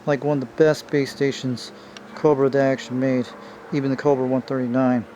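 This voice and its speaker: Guy doing a laid-back hobby show and tell, rambles a bit, average pitch, noisy homemade recording. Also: flat delivery, fairly quick, dark tone, lo-fi sound. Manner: informal, rambling, addressing viewers as you guys. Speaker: hobbyist showing a vintage CB radio. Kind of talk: casual hands-on show-and-tell. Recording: noisy amateur home video.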